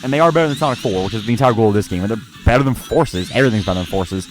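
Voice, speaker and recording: A man from the United States reviewing a video game in casual, rambling, halting, sweary unscripted commentary. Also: mid-range voice, lively intonation. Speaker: gaming reviewer. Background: game music.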